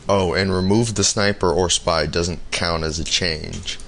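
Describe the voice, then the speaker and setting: A slightly hoarse man recording a prepared audio message with tips for a show. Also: deep voice, steady tone, unhurried, a bit bright. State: losing his voice, slightly hoarse. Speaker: listener sending in a tip. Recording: homemade MP3 with faint noise.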